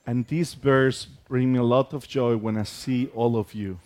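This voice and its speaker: A man's voice: mid-range voice, steady tone, a little slow, darkish tone, no echo. A middle-aged man from Mexico, a native Spanish speaker, preaching as a pastor in short phrases that leave room for an interpreter.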